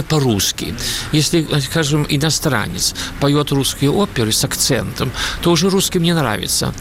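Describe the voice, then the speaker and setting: A Swedish-born opera singer talks in an interview, pausing often to search for the right word, with slightly non-native phrasing. His voice has a gravelly, creaky edge. The radio recording carries a clear layer of background noise. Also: mid-range voice. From Sweden. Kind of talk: conversational interview answers.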